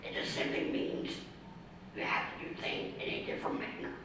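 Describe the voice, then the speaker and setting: Raspy whispery man in an echoey hall. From Ohio, US. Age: 30s. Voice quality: raspy, mostly unvoiced whispery voice from vocal cord damage. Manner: slow, methodical, with restarts. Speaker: award speaker, ordained minister. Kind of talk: reading a prepared personal speech. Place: community center hall. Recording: echoey hall from far off, faint noise.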